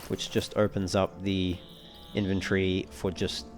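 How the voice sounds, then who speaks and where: A man giving casual let's play commentary as a walkthrough host, explaining things in a measured, wordy, slightly formal way. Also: deep voice, monotone, soft background music.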